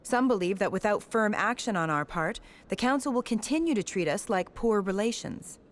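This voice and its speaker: A woman's voice: medium pitch, expressive, normal speed, lightly acted. An actress playing a pushy female TV news reporter in a video game, with a polished, needling interview manner. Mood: polite, probing. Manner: polished, leading questions. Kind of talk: acted game dialogue, broadcast interview.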